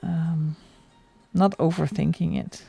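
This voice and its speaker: A woman's voice: sits low, steady tone, slow, gravelly, dark tone, breathy edge, no room sound, soft background music. A middle-aged woman from the Netherlands with a Dutch accent, chatting casually and laughing often while she colours on a livestream. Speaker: livestream host.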